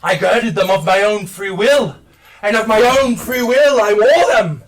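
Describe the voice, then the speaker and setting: A man on stage playing the tormented, mournful and stern ghost of a dead businessman, declaiming theatrically. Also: sits high. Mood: anguished, wailing. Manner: slow, declamatory, breaking into anguished shouts and wails. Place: theatre stage, recorded live. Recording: distant live stage play recording.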